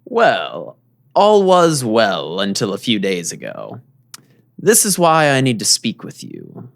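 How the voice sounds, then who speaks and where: A man playing a secretive, polite nuclear plant boss in an audio drama, speaking in a measured, formal, guarded way. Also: fairly low pitch, huge pitch swings, gravelly, exaggerated voice. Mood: grave, hesitant.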